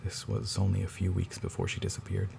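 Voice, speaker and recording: An actor playing a grieving man determined to find his missing sister, speaking quietly and resolutely. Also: sits low, monotone, quick pace, vocal fry, background noise.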